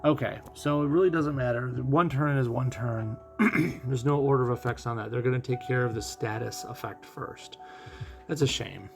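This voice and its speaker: A man giving casual live game commentary as a streamer, musing and thinking out loud as he goes. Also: mid-range voice, normal speed, vocal fry, music in the background. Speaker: gaming streamer.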